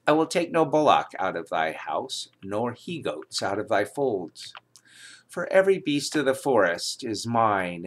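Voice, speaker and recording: A man reading the Bible aloud in a solemn, dramatic style, with emphatic, declamatory delivery and measured phrasing. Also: on the low side, a bit bright. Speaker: scripture reader.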